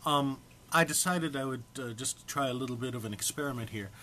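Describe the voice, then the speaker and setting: A man's voice: mid-range voice, fairly quick, pushed and bright, slight background noise. A man informally introducing and reading comic text aloud in a mock-solemn manner, struggling to keep from laughing. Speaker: amateur reader recording for an online post.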